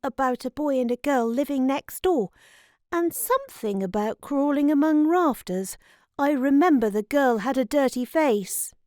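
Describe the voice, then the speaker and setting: A woman's voice: sits high, lively intonation, normal speed, mellow, no room sound, clean studio sound, pitched above the performer's own voice. A woman playing an eager, slightly puzzled young boy in a children's storybook, plain-spoken and earnest.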